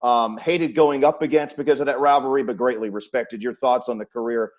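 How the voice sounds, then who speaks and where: A man with a medium-pitched voice hosts a sports chat. He speaks conversationally and builds up to his questions in a measured way. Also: fairly even intonation. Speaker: host, sports announcer.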